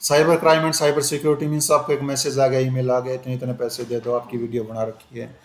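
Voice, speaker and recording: A man's voice: mid-range voice, little pitch movement. A male teacher giving a conversational exam-prep lecture, switching between Hindi and English and repeating "okay" as a filler. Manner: conversational, repeats "ठीक है" often, switches into slow English phrases. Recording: echoey room with faint ambient noise.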